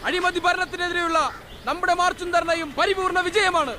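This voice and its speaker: A fiery protest leader belts out rhythmic slogans in a film. The voice is strained up very high and stays level, over a loud crowd and heavy noise. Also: male voice, level tone, brisk. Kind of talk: film acting, street protest speech.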